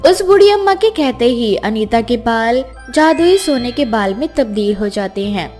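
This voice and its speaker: A woman's voice: on the high side, lively intonation, moderate pace, darkish tone. A woman narrating a scripted children's fairy tale as storyteller. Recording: music behind the voice.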